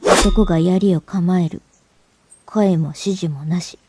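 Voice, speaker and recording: Slow, halting, fearful young woman acted by a woman. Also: on the low side, fairly even intonation, noisy background. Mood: tense, hushed dread. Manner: short halting phrases with dramatic pauses. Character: cornered fugitive young woman in a fantasy world, tense and fearful. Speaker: voice actor narrating as the main character. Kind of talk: dramatic first-person audio drama narration in Japanese.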